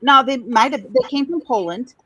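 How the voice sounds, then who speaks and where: A woman's voice: sits high, moderate pace, pushed and bright, breathy edge, dry recording. A woman from Chicago, a podcast guest, telling a family story in a rambling way that trails off and circles back. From Chicago, USA. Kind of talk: casual storytelling conversation.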